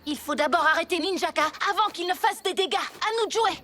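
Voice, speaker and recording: A woman voicing a brisk, responsible young boy superhero in a French cartoon, urgent and commanding. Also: very high voice, sharp tone. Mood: resolute. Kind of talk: French cartoon dub acting. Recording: music behind the voice.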